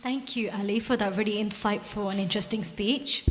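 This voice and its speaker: A woman's voice: mid-range voice, fairly even intonation, a little hiss or hum. Woman host thanking and introducing speakers, polite, distant and echoey. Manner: polite, measured. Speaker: event compere. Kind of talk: formal announcement. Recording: distant voice in a reverberant hall.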